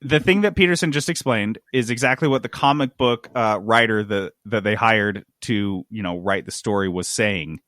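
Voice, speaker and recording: A man's voice: on the low side, normal speed, few pauses, fairly bright tone, dry recording, clean studio sound. A man hosting a gaming podcast, riffing sarcastically in casual, comedic chat. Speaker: podcast host.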